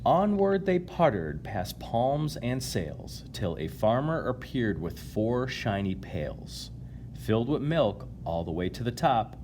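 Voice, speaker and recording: Guy reading storytime for kids, really expressive, takes his time, bit of noise. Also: mid-range voice, takes it slowly. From United States, Illinois. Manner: animated reading, addressing young listeners. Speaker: chiropractor reading a picture book to children. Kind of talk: storybook read-aloud with casual asides.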